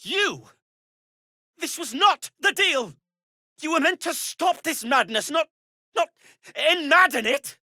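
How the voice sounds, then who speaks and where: A man's voice: high-pitched, bright, forceful tone, exaggerated voice. A man playing an earnest, principled gnome in a video game, pleading and moralizing in articulate, emphatic phrases. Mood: outraged. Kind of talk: dramatic video game dialogue acting.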